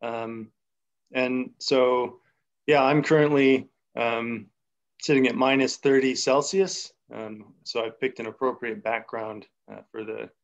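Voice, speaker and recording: Young male lecturer on a video call, mid-pitched, unhurried and thinking aloud, slightly muffled audio. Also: fairly even intonation. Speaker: seminar speaker. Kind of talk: academic math talk over video call.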